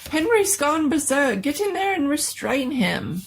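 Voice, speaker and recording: A woman's voice: high voice, sing-song, a little slow, creaky, pitched above the performer's own voice, exaggerated voice. A woman voicing a fussy, old-fashioned male doctor with a pompous, exclamatory manner in a dramatic story reading. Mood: frantic.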